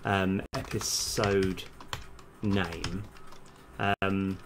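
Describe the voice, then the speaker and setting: A man live-streaming as a game developer, casually thinking aloud in halting mutters as he works. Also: sits low, fairly even intonation, noisy background. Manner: halting, muttering to self while working. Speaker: game developer livestream host. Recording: music behind the voice. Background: music, computer keyboard typing.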